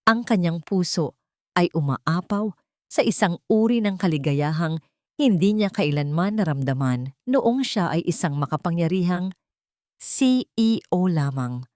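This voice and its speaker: A woman's voice: fairly low pitch, lively intonation, unhurried, darkish tone, no room sound. Woman narrating sentimentally, dry close sound. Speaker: storyteller narrator. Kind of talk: scripted dramatic audio story narration.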